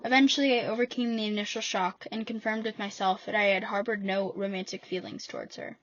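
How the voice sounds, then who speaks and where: A woman's voice: medium pitch, fairly even intonation, fairly quick, dull audio. Wry teen girl reading her essay. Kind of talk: scripted personal essay reading.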